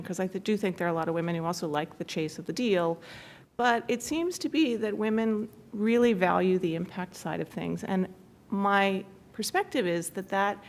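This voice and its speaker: A woman speaking on a conference panel, answering thoughtfully with frequent pauses and fillers. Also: fairly low pitch, slight background noise. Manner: thoughtful, hesitant with many fillers. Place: conference room.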